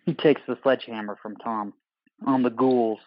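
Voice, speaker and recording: A male narrator with a medium-pitched voice reads action lines for an audio drama. His phrasing is clipped, deliberate and dramatic, close and dry, with a slightly dull sound. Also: steady tone, dry recording. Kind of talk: scripted narration of screenplay directions.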